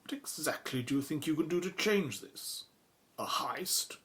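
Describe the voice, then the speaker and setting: A man's voice: a little high, animated, measured pace, fairly bright tone. A man playing a haughty, dismissive British museum official in an audio drama, with clipped, pompous formality.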